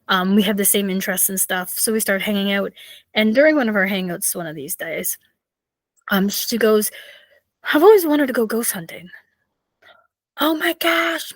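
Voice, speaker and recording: A woman in her thirties with a raspy voice, hosting a podcast and chatting casually with lots of fillers. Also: mid-range voice, no echo. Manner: chatty, rambling, with many fillers. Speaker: podcast host. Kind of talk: casual solo storytelling.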